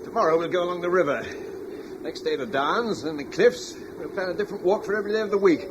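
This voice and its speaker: An actor playing a calm, commanding middle-aged husband and guard leader, warmly reassuring, in a radio drama. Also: male voice, sits high, quick pace, background noise, natural voice.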